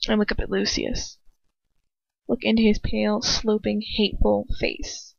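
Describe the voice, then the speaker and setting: Woman narrator, medium pitch, dramatic amateur audiobook read, dry and slightly lo-fi. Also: level tone, measured pace, vocal fry, no echo. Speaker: fan fiction reader. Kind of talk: expressive amateur audiobook narration with casual asides. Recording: dull, lo-fi, dry home recording.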